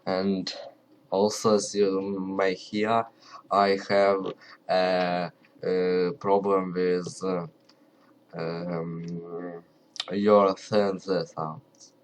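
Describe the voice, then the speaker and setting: A man with a Ukrainian accent, English not his first language, talking quietly and carefully to camera and often pausing to search for words. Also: sits low, little pitch movement, a little hiss or hum. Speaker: video creator. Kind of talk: casual talk to camera.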